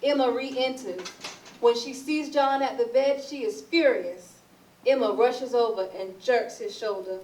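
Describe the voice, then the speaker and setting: A woman's voice: on the high side, unhurried, a little mellow. Narrator: woman reading scripted stage directions, heard from far off with some background noise. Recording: echoey, distant, noisy stage recording.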